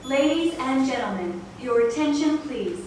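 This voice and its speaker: A woman reading a formal, measured, scripted public address announcement, played through an intercom speaker. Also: sits high, expressive, darkish tone. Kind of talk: scripted pre-recorded announcement. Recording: very echoey intercom playback, distant, faint noise.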